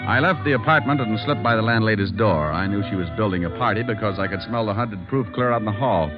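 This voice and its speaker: An actor playing a wisecracking, hardboiled private detective with a breezy, slangy delivery in an old radio drama. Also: male voice, fairly low pitch, fast talker, over loud music, natural voice. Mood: breezy, wry. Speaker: lead actor and narrator. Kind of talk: 1940s radio acting. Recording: dull old radio broadcast recording.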